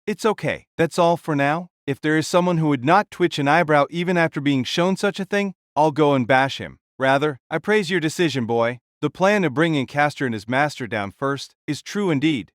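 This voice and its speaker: A man's voice: on the high side, moderate pace, hardly any pauses, dry recording, natural voice. Man acting a frank, weary warrior giant, bone-dry sound. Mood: quiet, admonishing. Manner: frank, admonishing, sighing. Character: big gruff warrior king, frank, sighing, protective. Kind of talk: audio drama acting.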